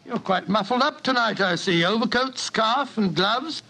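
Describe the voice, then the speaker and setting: A genial English physician with a notably high voice for a man. He tells his tale in old radio drama fashion, hesitating and exclaiming like a loyal, admiring companion. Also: lively intonation, moderate pace, bright, forceful tone, full character voice, low-quality recording. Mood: genial, reminiscing. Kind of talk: 1940s radio acting, storytelling.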